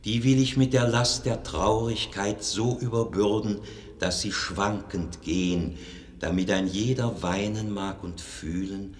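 Slow, deep, mournful verse orator, played by a man. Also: a little hiss or hum, lightly acted. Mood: solemn, mournful. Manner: declamatory, measured verse recitation. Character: solemn male prologue speaker, mournful and lofty. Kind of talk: 1950s German radio verse drama.

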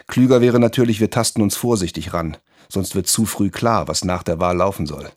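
An actor playing a disdainful, scheming political speechwriter in a radio drama monologue. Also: male voice, sits low, a little fast, a little mellow. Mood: disdainful, calculating. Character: scheming political spin doctor, disdainful and tactical.

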